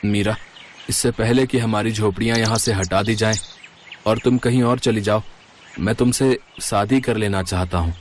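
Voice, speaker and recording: A man's voice: on the low side, steady tone, moderate pace, a little hiss or hum, natural voice. A man voicing an impatient, cheeky young beggar eager to be a groom in a cartoon.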